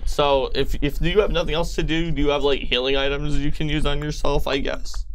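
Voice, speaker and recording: A man's voice: on the high side, flat delivery, brisk, a bit bright, a little hiss or hum, rough recording. A man acting as game master, casually explaining rules and refereeing play in a conversational way. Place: livestreamed tabletop game session.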